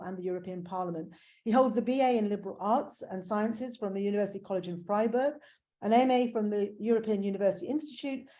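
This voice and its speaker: A woman moderating an online book launch, introducing the panelists in a measured way with frequent fillers. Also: mid-range voice, dark tone. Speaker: webinar moderator. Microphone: video call.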